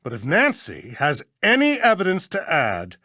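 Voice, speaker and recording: A weary, authoritative judge from a video game. The delivery is formal and deliberate, with lively pitch movement. The recording is close and dry. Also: female voice, no echo, exaggerated voice. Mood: measured, judicial. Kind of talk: scripted video game voice acting.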